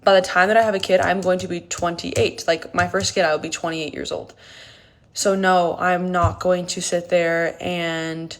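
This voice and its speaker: Woman in her late 20s, low register, direct-to-camera vlogger, frank and emphatic. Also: little pitch movement, normal speed. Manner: candid and emphatic, many "like" fillers. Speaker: fitness content creator. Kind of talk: casual talking-to-camera vlog.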